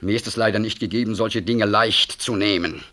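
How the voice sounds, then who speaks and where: An actor playing a smooth, self-important politician and doctor in a radio drama, evasive and pompous. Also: male voice, on the low side, steady tone, a little fast, sharp tone. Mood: self-satisfied, grandiose. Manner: hedging, rhetorical, pompous. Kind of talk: 1960s German radio drama acting.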